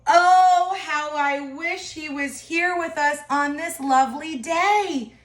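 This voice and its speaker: A woman's voice: high voice, slow, pitched above the performer's own voice. Woman playing a wistful donkey mother, raised voice. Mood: wistful, hopeful. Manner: earnest, yearning. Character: wistful donkey mother longing for her lost son. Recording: echoey room.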